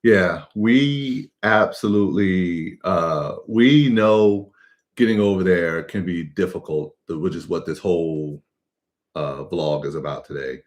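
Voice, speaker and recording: A man's voice: low voice, slow pace. An American man co-hosting a live travel stream, casually explaining what local life is like.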